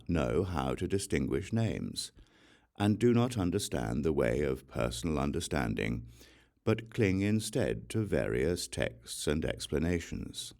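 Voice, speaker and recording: Very deep-voiced man reading scripture. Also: vocal fry, a little mellow. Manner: measured, phrase-by-phrase delivery. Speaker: audiobook reader.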